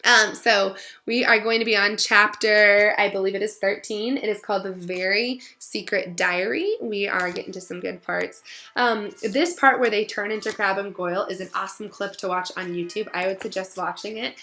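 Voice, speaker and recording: Bright-voiced woman reading a story aloud. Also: medium pitch, fairly even intonation, moderate pace, a little hiss or hum. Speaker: read-aloud narrator. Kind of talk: expressive storybook reading with casual asides.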